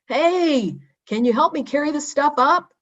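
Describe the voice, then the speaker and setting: A woman's voice: high voice, expressive, a little slow, muffled recording, pitched above the performer's own voice. A woman voicing an eager, bossy schoolboy with an emphatic, take-charge manner in a children's storybook.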